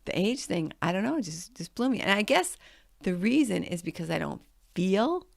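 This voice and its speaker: A woman's voice: medium pitch, big pitch swings, normal speed, gravelly, no room sound, studio quality. A woman in her late fifties hosting a podcast, talking in a chatty, conversational way in a motivational monologue. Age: 50s. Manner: chatty and conversational, with frequent "like" and "I mean". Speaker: podcast host.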